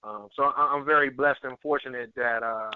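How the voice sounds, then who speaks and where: A man's voice: medium pitch, smooth, no room sound. Man on a tinny phone line. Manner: earnest, halting, repeats words. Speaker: guest, network marketer and area manager. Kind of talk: conversational testimonial on a conference call. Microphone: telephone conference call.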